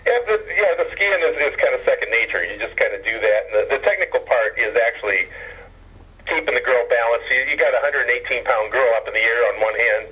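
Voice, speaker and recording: Husky man on a tinny phone line. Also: mid-range voice, fast. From Hawaii. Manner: deadpan, self-mocking humor. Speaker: guest, columnist. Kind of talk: phone-in radio interview. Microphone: telephone.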